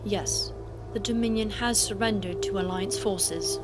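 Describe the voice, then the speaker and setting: A woman's voice: medium pitch, measured pace, over music, natural voice. A woman playing a devoted, earnest Romulan follower of logic, with formal, careful phrasing in a sci-fi audio drama. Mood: tender, solemn.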